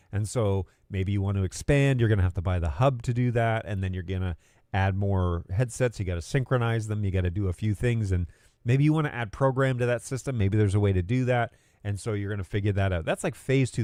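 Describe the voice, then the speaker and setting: Mellow low-voiced man explaining casually. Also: dry recording, clean studio sound. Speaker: presenter of a tech explainer video. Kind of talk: unscripted explainer, casual and conversational.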